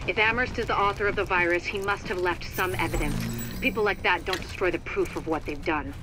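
An actress playing a brisk, determined female agent who gives direct mission orders in a video game. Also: on the low side, music in the background, close to an everyday voice. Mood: businesslike. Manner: businesslike, direct. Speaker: mission coordinator. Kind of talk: video game radio briefing.

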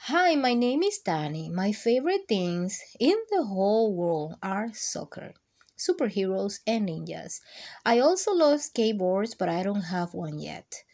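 Storybook part: woman as excitable young boy superhero, exclaiming, unhurried delivery. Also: medium pitch. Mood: eager. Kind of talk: children's storybook read-aloud.

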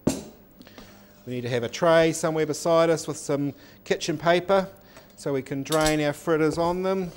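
A man's voice: mid-range voice, a little hiss or hum. Man, 40s, cooking teacher, step-by-step explaining, lapel mic, faint sizzle behind. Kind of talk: cooking demonstration. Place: teaching kitchen studio. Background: oil sizzling in a pan.

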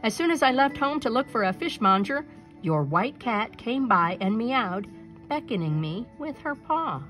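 An amazed, generous old storybook merchant played by a woman reader speaks with emphatic wonder. The pitch swings widely in an animated delivery, with music behind. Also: moderate pace. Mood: pleased, explaining.

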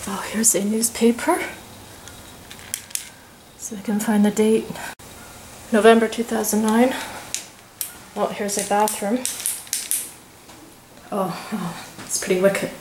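A woman's voice: mid-range voice, little pitch movement, takes it slowly, long pauses, darkish tone. Woman exploring indoors, noisy handheld recording. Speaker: urban explorer and host. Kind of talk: casual running commentary while exploring. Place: inside rooms of an empty, abandoned house. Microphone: handheld camera microphone held by the speaker. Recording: handheld camera with clear background noise. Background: ambient noise.

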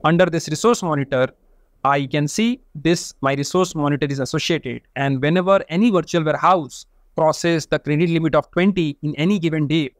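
A man with a somewhat high-pitched voice teaches a data tool in a methodical, step-by-step way. His voice-over is recorded dry and close to the mic, with no room sound. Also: no echo. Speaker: data engineering instructor. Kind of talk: screen-share software tutorial.

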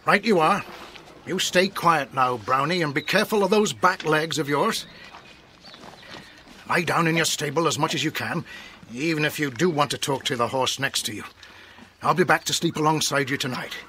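A man's voice: on the high side, normal speed, pushed and bright. An actor playing a kindly, plain-spoken working man who dotes on his horse, in a children's audio drama. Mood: gentle, caring. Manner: homely, plain-spoken, affectionate. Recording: noisy cassette tape with clicks.

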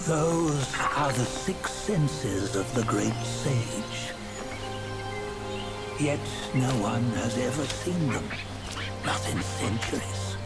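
An actor playing a weary, reverent old storyteller in a video game, solemnly telling an ancient legend with dramatic pauses. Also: male voice, mid-range voice, expressive, creaky. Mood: solemn, wistful. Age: older. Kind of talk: solemn scripted game narration. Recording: music behind the voice.

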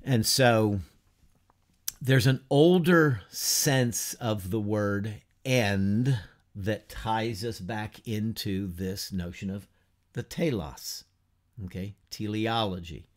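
A man in his fifties giving an informal class lecture from a home study, unhurried and conversational, asking rhetorical questions and using many fillers. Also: lowish voice. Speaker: teacher of an adult church class. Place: home study lined with bookshelves, whiteboard beside him.

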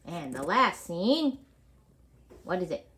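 Woman, animated intonation, bright tone, encouraging, short directing cues, casual home video. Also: fairly low pitch, slow. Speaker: parent directing a child's puppet show. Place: child's bedroom, indoors. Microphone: handheld camera, speaking from behind it.

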